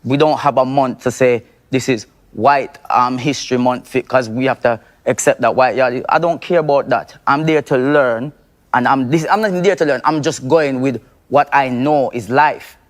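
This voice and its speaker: Male panel guest, mid-pitched, insistent and repetitive in casual debate. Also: moderate pace. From Jamaica.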